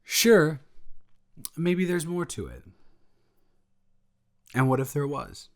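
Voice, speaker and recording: A young man playing a protective, secretly jealous male roommate in an improvised intimate audio roleplay, talking in a casual, halting, hesitant way. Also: a little high, big pitch swings, exaggerated voice. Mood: defensive.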